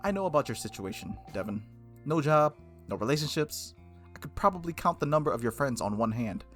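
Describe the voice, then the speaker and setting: Man voicing a frank, teasing young woman, lively. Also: mid-range voice, a little fast, faint music behind. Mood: matter-of-fact. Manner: frank, teasing, matter-of-fact.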